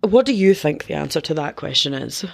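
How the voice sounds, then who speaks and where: A wry woman co-host with a lowish voice, chatting in a self-deprecating way and laughing often. The recording is dry and close, with no room sound. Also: lively intonation, fairly quick, creaky, no echo. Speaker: podcast co-host. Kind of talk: casual podcast chat.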